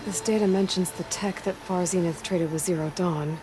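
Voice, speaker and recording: An actress playing a wary, resolute young outcast huntress in a video game, speaking tersely and watchfully, often as if thinking aloud. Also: female voice, fairly low pitch, steady tone, moderate pace, close to an everyday voice, rough recording. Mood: serious, resolute. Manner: terse, watchful, often muttering thoughts to herself. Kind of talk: naturalistic video game acting. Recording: game soundtrack music behind the voice.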